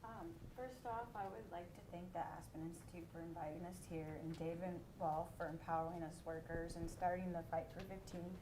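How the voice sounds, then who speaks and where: A woman from Seattle introducing herself on a panel as a fast food worker, steady with ums. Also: lowish voice, background noise.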